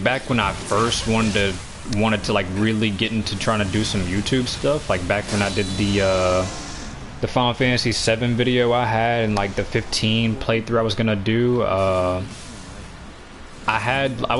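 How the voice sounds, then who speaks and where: Young male streamer, 19, deep low voice, loose rambling delivery, noisy background hiss. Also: normal speed. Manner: rambling, many fillers and restarts. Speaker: gaming streamer. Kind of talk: casual chat while streaming gameplay.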